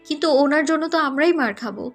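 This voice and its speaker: A woman's voice: high-pitched, fairly quick, soft background music, close to an everyday voice. A woman playing a pert, nosy young female college student in an audio story, with a questioning manner. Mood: worried. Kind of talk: dramatized audio story acting.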